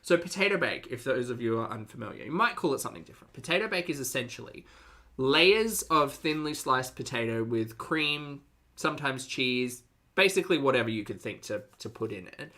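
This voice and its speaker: A man's voice: mid-range voice, lively intonation, fairly quick, sharp tone. A man hosting a reaction stream, chatting casually to his live audience with dry, teasing sarcasm. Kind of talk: casual live commentary to a chat.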